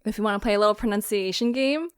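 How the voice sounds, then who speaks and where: A woman's voice: medium pitch, very fast, smooth, dry recording, studio quality. A woman hosting a casual podcast chat, giggling often as she talks. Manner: giggly, laughs often while talking. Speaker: podcast host and creator.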